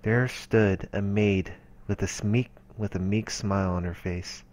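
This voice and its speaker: Deep-voiced man reading a story. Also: steady tone, a little slow, darkish tone. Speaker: let's play narrator reading a visual novel aloud. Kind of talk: reading game narration aloud.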